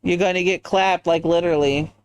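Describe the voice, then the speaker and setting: A man's voice: high voice, fairly even intonation, brisk, smooth, dry recording, rough recording. A man streaming a game in casual chat, rambling and reacting to viewers with goofy asides. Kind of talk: casual chat while gaming.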